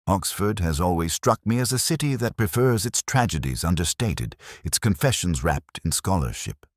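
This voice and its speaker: A man narrating a scripted first-person detective story in a measured, literary, brooding manner. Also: low-pitched, lively intonation, no room sound, clean studio sound.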